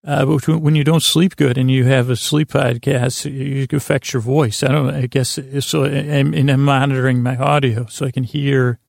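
A man hosting a sleep podcast in a creaky, tired voice, rambling haltingly through tangents and often interrupting himself. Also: mid-range voice, flat delivery, darkish tone, dry recording, studio quality. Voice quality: creaky, croaky voice. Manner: meandering, halting, self-interrupting, trailing off. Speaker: podcast host.

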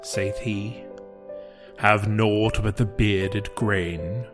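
A man reading poetry in a solemn, measured and deliberate way, as a scripted recitation. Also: fairly low pitch. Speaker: poetry podcast reader. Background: music.

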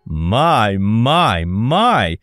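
A man's voice: fairly low pitch, very animated, very slow, fairly bright tone, dry recording, studio quality, faint music behind, full character voice. A man playing a genial, easily flustered radio host with polite, theatrical banter in an audio drama. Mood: amused, showy. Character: genial, flustered radio host of fortune-telling call-in show.

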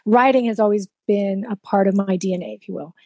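A woman's voice: mid-range voice, fast talker, mellow, no echo. A woman from South Texas whose first language is Spanish, telling her story openly as an interview guest. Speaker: guest, coach and speaker. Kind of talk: casual interview chat.

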